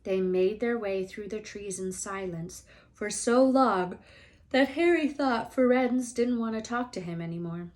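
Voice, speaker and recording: A woman narrating a children's novel as a read-aloud storyteller, expressive and deliberate. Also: mid-range voice.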